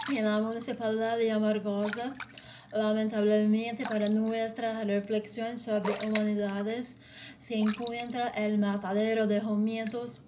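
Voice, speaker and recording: Brazilian woman, Portuguese-accented, flat slow read, noisy recording. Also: mid-range voice. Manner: slow, deliberate reading in short phrases. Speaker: blogger. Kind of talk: read-aloud commentary.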